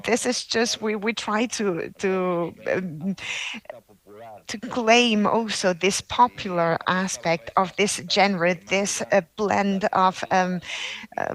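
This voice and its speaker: A woman who is not a native English speaker, giving a formal speech at an award ceremony, halting often with fillers and restarts. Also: medium pitch, poor audio. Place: ceremony hall.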